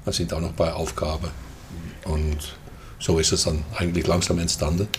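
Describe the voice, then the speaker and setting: Older Dutch-accented man, deep and halting, throat-clearing guest in casual chat over light noise. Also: little pitch movement. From Netherlands. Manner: hesitant, many fillers and throat clearing. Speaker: guest, festival co-manager.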